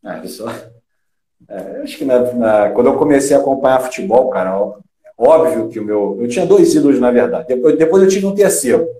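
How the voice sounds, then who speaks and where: A middle-aged man from Rio de Janeiro with a Carioca accent, a former footballer chatting as an interview guest, halting with many restarts and fillers. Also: mid-range voice, expressive, dark tone. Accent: Carioca Brazilian Portuguese. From Rio de Janeiro, Brazil. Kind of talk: casual interview chat. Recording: echoey room.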